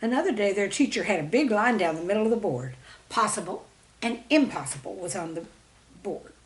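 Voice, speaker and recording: A woman reading a scripted children's story with expressive, dramatic storybook emphasis. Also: mid-range voice, fairly quick. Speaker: storyteller and author reading own stories.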